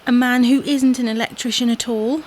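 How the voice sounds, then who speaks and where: Actress as a fretful wife, highish voice. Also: female voice, steady tone, normal speed, darkish tone, slight background noise, natural voice. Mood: worried. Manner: fretful, insistent. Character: anxious, fussing wife, quick to contradict. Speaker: audio drama actor. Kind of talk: domestic comedy-drama dialogue.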